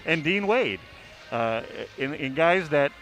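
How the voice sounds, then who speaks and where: A man's voice: a little high, expressive, slow pace, fairly bright tone, faint music behind. A man giving casual basketball analysis as a sports writer, informal and sometimes stumbling over his words.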